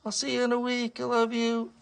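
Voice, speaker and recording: A loving female fox from a storybook, put on by a male storyteller. The voice sits very high, in falsetto, as an animal sweetheart. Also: monotone, brisk, gravelly, smooth. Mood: tender.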